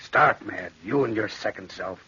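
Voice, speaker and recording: A man's voice: on the low side, normal speed, bright, forceful tone, slightly rough, exaggerated voice. An actor playing a stern, grave, accusing man in 1940s radio drama, on a dull old recording. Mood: grave, disgusted. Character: Barton, stern, appalled brother and kiln owner.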